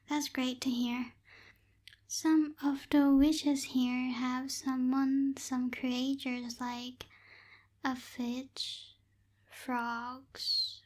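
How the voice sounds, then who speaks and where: A woman playing a proud, bossy but helpful young night witch in an ASMR roleplay, speaking hesitantly with soft asides, close to the microphone. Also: high-pitched, heavily acted. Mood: testing, curious. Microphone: close binaural microphone.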